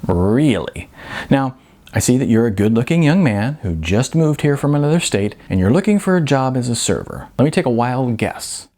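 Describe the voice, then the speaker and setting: Blunt, world-weary restaurant manager, read by a man, lively pitch. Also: normal speed, close to an everyday voice. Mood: blunt, patient. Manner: frank, explanatory, emphatic.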